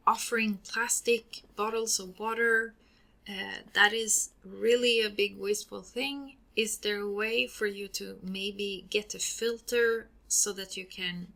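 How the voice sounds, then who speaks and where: Slow, bright-voiced Swedish-accented woman. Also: mid-range voice. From Sweden. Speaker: podcast host. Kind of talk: solo podcast talk.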